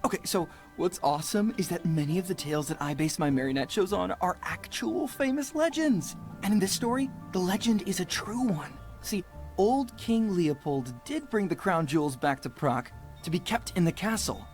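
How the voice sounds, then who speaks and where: Actress doing chatty young puppeteer lady from a video game, friendly, teasing, super animated, bit of music behind. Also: lowish voice, moderate pace, lightly acted. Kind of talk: video game character dialogue.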